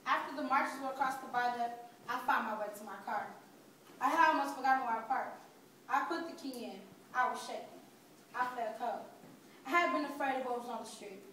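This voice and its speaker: A woman's voice: fairly high pitch, fairly quick, pushed and bright. A young woman student performing a dramatic reading as a frightened marcher giving her account. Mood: fearful recollection. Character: frightened marcher recounting flight under thrown bottles. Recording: very echoey hall, distant, faint noise.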